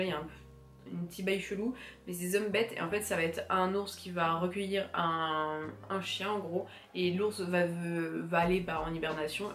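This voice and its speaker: Stuffy-nosed young woman chatting casually. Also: lowish voice. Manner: chatty, many fillers and restarts. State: congested, stuffy nose from a cold. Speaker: anime vlogger. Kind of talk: casual chat to camera. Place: room with bookshelves. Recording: roomy echo, faint music behind.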